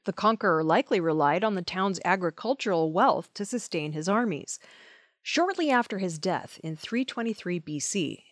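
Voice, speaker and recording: A woman's voice: mid-range voice, dry recording, studio quality. A woman reading scripted news stories as the presenter of an audio news program. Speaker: news reader.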